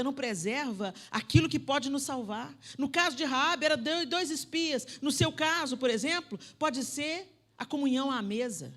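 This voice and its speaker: Bright-voiced woman preaching emphatically. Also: high-pitched. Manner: emphatic, swinging from loud declarations to soft asides; conversational, addresses the audience directly. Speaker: preacher at a women's conference. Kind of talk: sermon. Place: church sanctuary.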